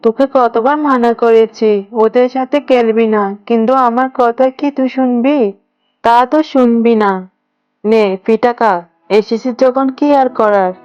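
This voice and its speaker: A woman's voice: on the high side, fairly even intonation, unhurried, dry recording, close to an everyday voice. A woman voicing a scolding, stingy tigress mother in a children's cartoon, grumbling as she talks. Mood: scolding, irritated. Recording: dull lo-fi audio, music behind. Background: background music.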